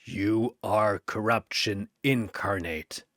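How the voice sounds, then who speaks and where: A weary, wrathful warrior angel, played by a man, raspy and low. He speaks slowly and solemnly, as if passing judgement, in a dry fantasy audio drama. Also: a bit bright, no echo. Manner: slow, solemn, condemning. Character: grave wrathful male angel, wounded and weary.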